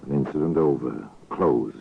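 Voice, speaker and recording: An actor playing a musing, ominous narrator in an old-time radio drama, deliberate and portentous. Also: male voice, extremely low pitch, creaky, slight background noise. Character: ominous, musing male narrator of suspense tale. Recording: muffled vintage radio recording.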